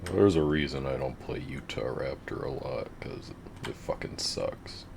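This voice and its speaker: A man with a very deep voice giving laid-back, rambling, profane commentary over his game. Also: measured pace, gravelly, background noise. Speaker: gamer narrating his play. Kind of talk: casual gameplay commentary.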